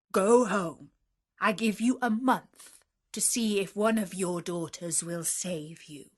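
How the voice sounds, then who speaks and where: A woman playing a roaring furious beast. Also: lowish voice. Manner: roaring, threatening. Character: huge furious male beast, menacing master of a palace.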